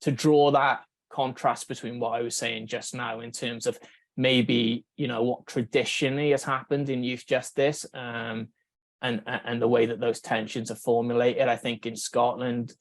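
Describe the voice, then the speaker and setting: A Welsh man, a researcher, giving a conference talk in a measured way, thinking aloud with fillers and restarts. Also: medium pitch, flat delivery, dry recording. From Wales. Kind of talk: conference presentation.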